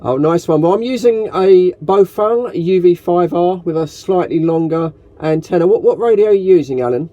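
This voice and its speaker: Male hobby host, slightly high voice, very dry sound, chatty and informal delivery. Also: animated, moderate pace, few pauses, dark tone, dry recording, highs cut off. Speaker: video host and radio hobbyist. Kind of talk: casual radio chat and vlog commentary.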